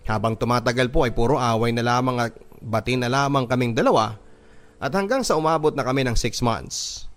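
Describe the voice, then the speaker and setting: A Filipino man reading a scripted love story in the first person as a radio storyteller, with a measured, emotive delivery. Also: medium pitch.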